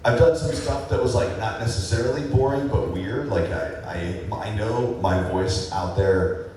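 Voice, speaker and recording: A man with a very low voice talks through fan questions on a convention panel, loose and rambling, full of "uh"s and small laughs. He sounds far from the mic in a big hall with heavy echo and a faint hiss underneath. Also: measured pace, a little mellow. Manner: rambling, laid-back, many fillers and chuckles. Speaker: voice actor guest on a convention panel. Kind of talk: casual panel Q&A answers. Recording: echoey hall recorded from far off.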